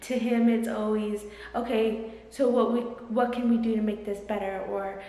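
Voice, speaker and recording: A young woman talks effusively and chattily to her phone camera held at arm's length. Her voice sits a little high. The bare rooms around her give a heavy echo with a long tail. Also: little pitch movement, moderate pace, dark tone. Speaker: vlogger. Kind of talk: casual vlog chat. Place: large empty apartment, indoors. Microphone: handheld phone camera at arm's length. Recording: echoey empty room with long reverb.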